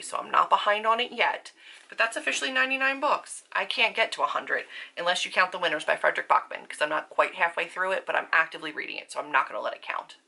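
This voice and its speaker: A woman's voice: sits low, vocal fry, bright, forceful tone. A woman vlogger chatting casually to her viewers in an informal, slangy, self-deprecating way. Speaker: book vlogger.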